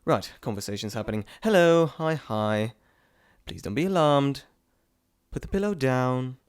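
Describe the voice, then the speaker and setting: A man's voice: mid-range voice, expressive, unhurried, smooth, dry recording, close to an everyday voice. A man playing a glib, dry-sounding trickster god. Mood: playful, exasperated. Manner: chatty, theatrical, teasing, self-interrupting. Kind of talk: scripted audio roleplay addressed to the listener.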